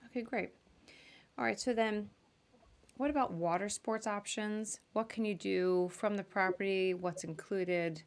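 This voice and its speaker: A woman's voice: mid-range voice, steady tone, measured pace. A woman hosting a podcast, chatting casually as she interviews her guest. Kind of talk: casual interview on a live video show.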